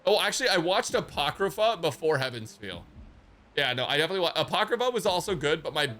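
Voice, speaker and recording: A man's voice: fairly high pitch, pushed and bright. A man livestreaming a game reaction, talking casually to his chat in a rambling, sweary, slangy way.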